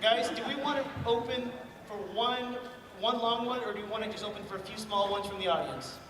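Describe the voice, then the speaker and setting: A man's voice: high voice, steady tone, a little fast, background noise. A man hosting a panel, bantering casually with a live audience. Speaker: panel host. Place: large echoey convention panel room. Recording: echoey hall, far mic, loud crowd.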